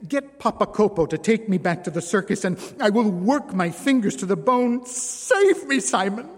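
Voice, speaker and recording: A man's voice: fairly high pitch, lively intonation, a little slow, a little mellow, voice pushed up. A man voicing an exhausted, desperate former circus woman who pleads through tears. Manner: pleading, tearful. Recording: long reverb.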